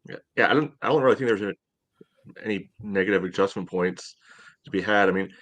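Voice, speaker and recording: Male podcast co-host, deep-ish and close-miked, halting casual sports analysis. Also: a bit bright, no room sound.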